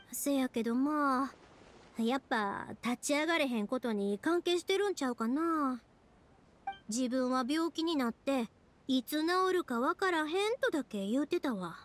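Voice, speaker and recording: A woman's voice: sits high, a little hiss or hum. A woman playing a cheerful, chatty young fireworks maker in a video game, speaking expressively with a Kansai accent. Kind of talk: animated video game voice acting.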